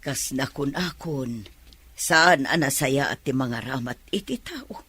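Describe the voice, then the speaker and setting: A woman playing a worried, cautioning mother with a husky voice in a radio drama. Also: rumbling low, lively intonation, takes it slowly, a bit bright, no room sound, heavily acted. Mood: admonishing.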